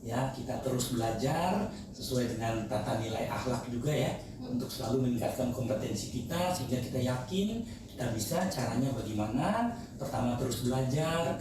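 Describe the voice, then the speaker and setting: A man's voice: medium pitch, animated, moderate pace. A man giving methodical explanatory answers as an interview guest. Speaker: company president director, podcast guest. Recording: very echoey room, distant mic, noisy.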